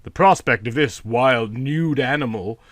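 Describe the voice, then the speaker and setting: Male narrator, mid-pitched, expressive story reading, literary prose, very little room sound. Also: unhurried, no echo.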